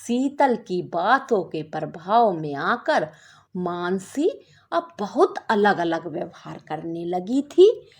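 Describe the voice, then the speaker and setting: A woman narrating a scripted story expressively, with measured dramatic delivery. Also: mid-range voice, breathy edge.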